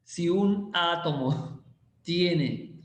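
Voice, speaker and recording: A male chemistry teacher, giving a casual, playful class and teasing students as he slowly repeats quiz questions. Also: a little high, slight background noise. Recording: roomy echo.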